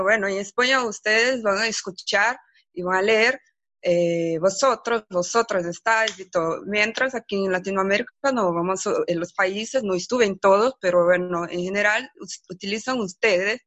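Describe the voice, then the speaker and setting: A woman's voice: mid-range voice, normal speed, fairly bright tone, no echo. Woman teacher on a muffled call. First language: Portuguese. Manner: hesitant, many fillers. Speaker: Spanish teacher in Latin America. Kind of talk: video call contribution.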